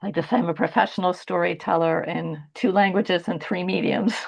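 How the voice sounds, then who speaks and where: A woman moderating a webinar on a video call, relaxed and friendly as she invites stories. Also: fairly low pitch, moderate pace, gravelly. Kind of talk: casual webinar hosting. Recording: dull lo-fi call audio.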